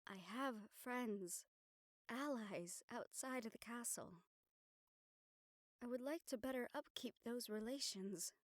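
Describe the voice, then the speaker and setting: A woman playing an affectionate, scheming young noblewoman in an intimate audio roleplay, speaking formally and measuredly with thoughtful pauses. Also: on the high side, no echo, studio quality. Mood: wistful, pensive. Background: background music.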